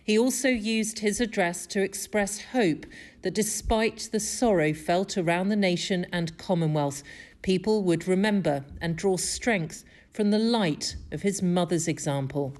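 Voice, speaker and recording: A woman's voice: medium pitch, unhurried, a little mellow. A woman delivering a scripted news report as a correspondent.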